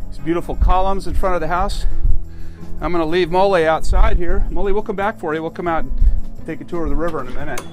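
A man's voice: fairly high pitch, fast talker, faint music behind. An older man chatting enthusiastically as he walks through his house, pointing out its rooms. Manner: chatty, enthusiastic, frequent restarts. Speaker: homeowner giving a house tour. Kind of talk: casual walking tour narration. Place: inside a house, moving room to room. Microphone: camera mic, filmed walking behind him.

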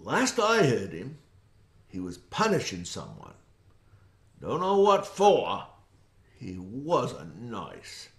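Slow, folksy, rambling backwoods man. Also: fairly low pitch, huge pitch swings, exaggerated voice. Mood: musing. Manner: hesitant, rambling, plainspoken. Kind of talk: audio drama acting.

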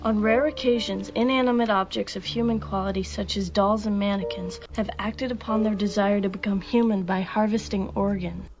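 A woman's voice: medium pitch, steady tone, moderate pace, few pauses, close to an everyday voice. Actress as studious young woman, earnest, TV drama, dull sound under music. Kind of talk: television drama acting. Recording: muffled TV playback under music.

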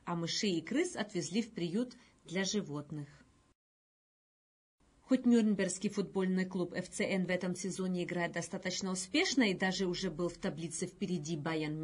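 A middle-aged woman presenting scripted news in a studio, with a slightly husky voice. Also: lowish voice. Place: indoor video news studio.

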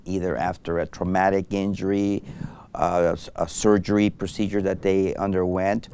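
A man's voice: low-pitched, a little mellow, slight background noise. A middle-aged man from Mexico, a doctor guest in an interview, speaking thoughtfully with many fillers and restarts on a lapel mic in a studio set. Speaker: anesthesiologist guest. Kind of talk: interview conversation.

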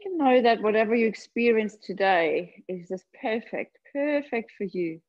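A woman leading a guided meditation, speaking gently and unhurriedly in short phrases with long pauses between them. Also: fairly high pitch, expressive, lo-fi sound. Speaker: yoga nidra meditation guide. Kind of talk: guided meditation, slow soothing instruction.

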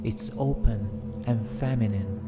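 Slow-paced man guiding a meditation. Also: on the low side. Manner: gentle, soothing, deliberate with long pauses. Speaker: meditation guide. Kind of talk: guided meditation narration. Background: meditation music with singing bowls.

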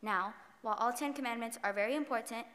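A woman's voice: a little high, quick pace, a bit bright. A young woman giving a prepared sermon as a student preacher, recorded in a large, reverberant church. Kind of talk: sermon, reading prepared text. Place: large church sanctuary, at the pulpit. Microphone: podium microphone. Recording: reverberant hall, long echo.

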